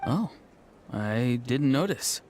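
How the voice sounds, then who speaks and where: A man's voice: mid-range voice, big pitch swings, slow, background noise. A man playing a young rebellious grandson in a video game, hesitant and earnest with a dry edge. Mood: subdued, dry. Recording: music clearly playing behind the voice.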